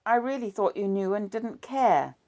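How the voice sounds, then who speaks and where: A woman voicing a distraught mother who turns sharp and accusing, her voice yelping into shouts. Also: lively intonation, normal speed, lightly acted. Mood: bitter, pleading. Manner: shrill, breaking into yells.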